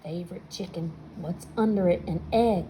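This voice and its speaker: A woman reading a picture book aloud to children, expressive in her storytelling and chatting to her young listeners with questions. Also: fairly low pitch, moderate pace, noisy background. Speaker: storybook reader.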